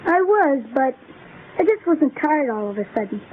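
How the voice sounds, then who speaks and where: A performer playing an innocent-sounding, eerily calm young boy in a radio horror play, casual and matter-of-fact. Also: high-pitched, normal speed, a little hiss or hum. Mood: eerily unbothered. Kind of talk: old-time radio horror acting. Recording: tinny phone-like radio drama with music.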